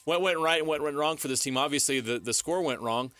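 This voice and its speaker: A man's voice: fairly high pitch, fast, bright, forceful tone, no echo. A man co-hosting a sports radio show, chatting casually and putting questions to a guest. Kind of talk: casual sports talk, interviewing.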